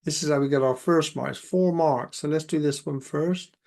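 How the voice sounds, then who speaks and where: A man with a croaky voice, working through exam maths questions aloud step by step as a tutor. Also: a little high, moderate pace. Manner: steady step-by-step, talks himself through sums.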